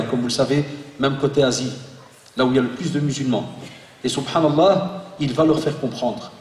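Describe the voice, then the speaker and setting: A man in his forties, born in Belgium, giving a lively religious talk to an audience and joking with the crowd. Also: mid-range voice, a little hiss or hum. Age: 40s. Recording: echoey hall, voice from far off.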